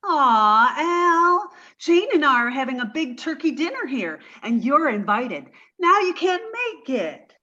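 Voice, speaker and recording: A woman's voice: sits high, very animated, measured pace, hardly any pauses, pushed and bright, dull audio, full character voice. A woman playing a sweet, dim, eager young woman in 1940s radio sitcom style, naive and earnest. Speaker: amateur theatre performer.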